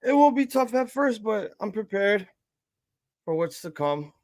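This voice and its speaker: A man in his thirties hosting a live-reaction stream, chatting casually and rambling with profanity and sudden loud excited outbursts. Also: sits high, unhurried, dry recording, studio quality. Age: 30s. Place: home room at a table.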